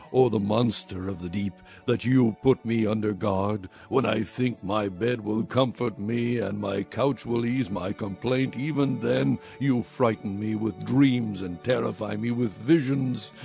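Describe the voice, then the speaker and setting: Despairing sufferer, solemn anguished lament, gravelly voice, scripture drama, soft music behind. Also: male voice, on the low side.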